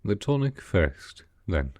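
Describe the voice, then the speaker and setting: A man playing a sickly, proud, melancholy aristocrat in a roleplay audio drama, speaking softly in a formal, measured, dryly reserved way. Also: very animated, a little hiss or hum, heavily acted. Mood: weary, wry. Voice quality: low, soft, with a cough.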